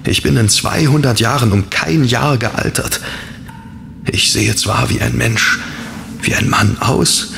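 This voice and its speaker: A man's voice: mid-range voice, takes it slowly, vocal fry, background noise. An actor playing a melancholy fallen angel in a man's body, sombre and confiding, in a horror audio drama. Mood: weary, resigned.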